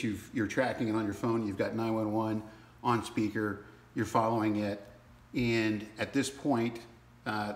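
A man giving a casual, halting step-by-step walkthrough as a product demonstrator in a small indoor room. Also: lowish voice. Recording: roomy sound with faint background noise.

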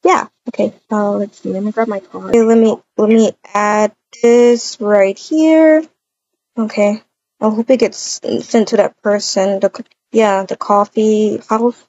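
A woman's voice: mid-range voice, fairly even intonation, a little slow, darkish tone, muffled recording. A woman improvising a voiced video-game roleplay, chatting and narrating her own actions aloud.

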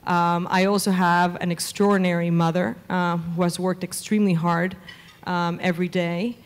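A woman's voice: lowish voice, little pitch movement, normal speed. A woman in her thirties from Venezuela, a media executive answering onstage interview questions into a handheld mic, fluent with frequent "um" fillers. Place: conference stage before a seated audience. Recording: reverberant conference hall.

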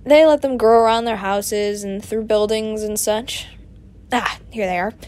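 A woman playing a playful, excitable young male adventurer in an audio roleplay, chatty and expressive, affectionate with a partner. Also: medium pitch, unhurried, close to an everyday voice. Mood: curious, playful. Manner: breezy, giggly, expressive, chatty.

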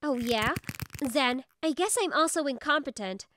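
A woman's voice: high voice, normal speed, a bit bright, slight background noise. An actress playing a firm, protective young female office senior in a manga story dub, assertive and plain-spoken. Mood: stern.

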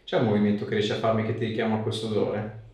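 A man's voice: on the low side, little pitch movement, rapid-fire. A man in his thirties working as a manual therapist, guiding and questioning a patient in short phrases. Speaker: osteopath or chiropractor. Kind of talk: hands-on clinical consultation with a patient. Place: small treatment room, indoors. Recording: echoey room, distant mic, background noise.

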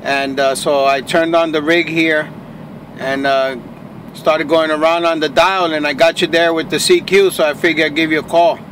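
A man's voice: on the high side, fairly even intonation, normal speed, fairly bright tone, poor audio. A plain-spoken man operating a ham radio from inside a car, chatting in operator style. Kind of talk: ham radio contact chat. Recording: faint noise inside a car.

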